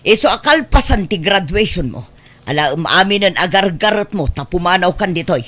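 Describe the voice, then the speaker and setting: A man's voice: sits high, expressive, moderate pace, bright, forceful tone, heavily acted. A man acting a proud, unforgiving aunt in an Ilocano radio drama, scolding harshly and indignantly. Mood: scornful scolding.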